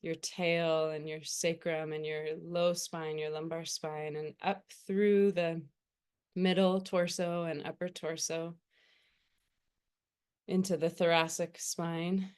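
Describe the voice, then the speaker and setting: A woman meditation teacher speaks in a low, smooth, resonant voice. Her delivery is very slow and gentle, leaving unhurried pauses between phrases as she soothingly guides the listener. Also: little pitch movement.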